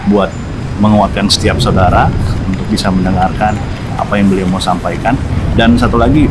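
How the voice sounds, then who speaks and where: A young adult man hosting a casual chat, slangy and bantering, often echoing his guest's words. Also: fairly low pitch. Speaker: host. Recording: noisy background with passing vehicles.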